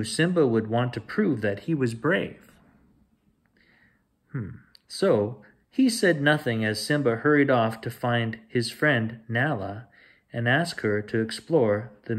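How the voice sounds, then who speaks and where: A man in his forties reading a children's picture book aloud as a storyteller, deliberate and expressive. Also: mid-range voice. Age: 40s. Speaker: storyteller reading bedtime stories. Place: small home room with shelves, indoors.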